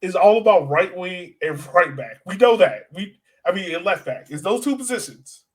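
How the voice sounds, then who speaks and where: A man's voice: sits high, lively intonation, normal speed, slightly rough. A man co-hosting a sports podcast, chatting casually in informal, slangy language and often turning to his co-host.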